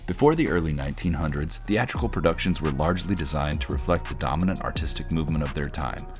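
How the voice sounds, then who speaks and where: A man reading scripted documentary narration in a measured, formal, instructional manner. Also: rumbling low, over music. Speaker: narrator of a college showcase.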